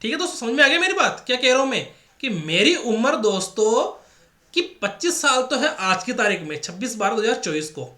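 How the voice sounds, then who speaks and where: A man's voice: very high voice, sing-song, brisk, pushed and bright. A male math teacher with a Rajasthani Hindi accent, running an exam-prep lesson and repeating each step with rhetorical questions to the class. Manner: repetitive, drills points with rhetorical questions to the class. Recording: echoey room.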